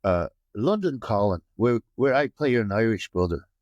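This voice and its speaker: A South African actor in his forties, telling stories in a lively way and often repeating words, speaking over a video call from a room with a plain wall. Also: on the low side, moderate pace, no echo, dull audio. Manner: animated storyteller, often repeats words, slips into other accents. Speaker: actor guest.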